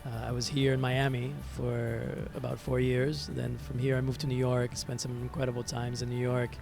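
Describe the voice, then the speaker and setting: A man from Venezuela, a native Spanish speaker, telling his story as an interview guest in a reflective, halting, conversational way, repeating words as he searches. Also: medium pitch, little pitch movement, vocal fry. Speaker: interview guest, musician and hospitality entrepreneur. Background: music.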